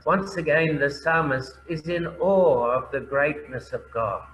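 Slow, reverent Australian priest. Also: male voice, fairly high pitch, fairly bright tone. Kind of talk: sermon. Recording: echoey room, faint background noise.

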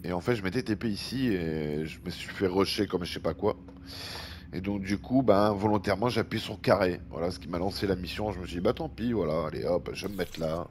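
Give me a deep-voiced man with a French accent and a head cold, talking casually over gameplay at his mic. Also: fairly quick. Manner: chatty, breathes heavily at times. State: has a head cold, congested. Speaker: gaming YouTuber. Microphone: desk microphone with fan running nearby. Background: faint fan noise.

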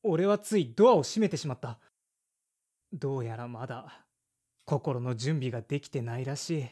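A man's voice: medium pitch, normal speed, mellow, close to an everyday voice. A man playing a blunt, awkward man of thirty-five in an audio drama, in casual dialogue and brooding narration. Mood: reflective. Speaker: lead character and narrator.

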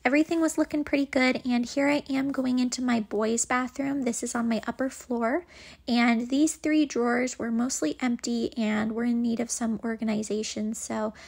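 A woman's voice: a little high, fairly even intonation, normal speed, a little mellow. A woman vlogger giving a casual, chatty voice-over about home organizing. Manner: chatty, informal.

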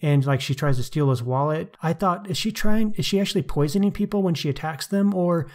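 A man with a slightly higher-pitched voice chats about books as a podcast co-host. He speaks in a casual, wry way and drops in slangy asides as he makes his points. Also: fairly quick. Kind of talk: casual book discussion.

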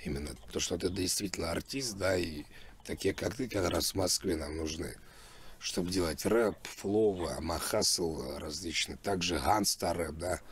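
A man's voice: deep voice, normal speed, a crowd in the background. A man making a prank call as a music producer, chatting casually in repetitive patter. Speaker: prank caller posing as a rap label producer. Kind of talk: casual phone talk.

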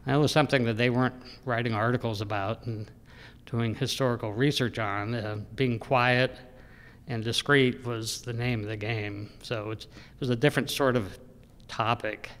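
A man in his sixties giving an informal, rambling talk at a podium microphone, often pausing and hesitating as he goes. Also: mid-range voice, steady tone, fairly bright tone. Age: 60s. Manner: halting, rambling delivery with frequent hesitations. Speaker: local history journal editor speaking at an event. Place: indoor public room, speaking at a podium. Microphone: gooseneck podium microphone. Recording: echoey hall with long reverb.

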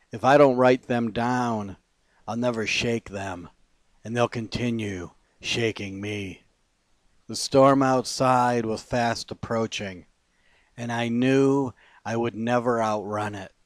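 A man reading poetry as a poet, in measured, deliberate phrases with pauses. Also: mid-range voice, dry recording.

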